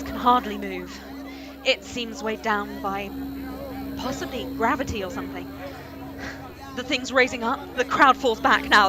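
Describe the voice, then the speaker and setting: A woman playing an excitable female news reporter giving halting, dramatic eyewitness commentary in a radio play. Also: a little high, lightly acted. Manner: breathless, halting, stammering with alarm. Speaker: actor in a live radio play. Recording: noisy live stream with loud ambience.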